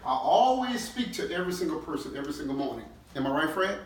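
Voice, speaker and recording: A man's voice: fairly high pitch, very animated, fast. A middle-aged man, a chief executive, giving an emphatic motivational talk to his staff, often checking in with short questions. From New Orleans, United States. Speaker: CEO leading a team-building session. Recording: echoey room, distant mic, faint noise.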